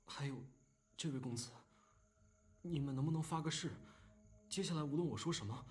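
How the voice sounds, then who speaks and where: A man playing a timid, evasive young clan leader in a Chinese animated drama, speaking in a hesitant, pleading, flustered way. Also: a little high, fairly quick, husky. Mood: anxious. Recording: very loud background music.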